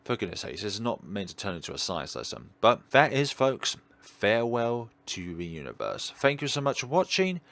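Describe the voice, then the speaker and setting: Male let's play host, very deep voice with expressive pitch swings, rambling and reflective. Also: normal speed, hardly any pauses, no echo. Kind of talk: reading a visual novel aloud with rambling off-script commentary.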